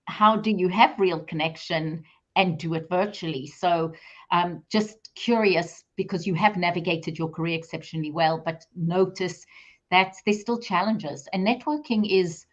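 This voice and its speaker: A woman's voice: lowish voice, normal speed, dull audio. A middle-aged woman hosting a live video call interview, chatty and enthusiastic. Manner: enthusiastic, talkative. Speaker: interview host.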